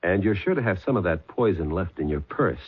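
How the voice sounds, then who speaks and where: A man playing a calm, dogged police detective in a 1970s radio drama, talking mildly and hesitantly with many pauses. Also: low voice, husky. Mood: firm. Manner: mild, hesitant, with many uh's. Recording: phone-like old radio broadcast.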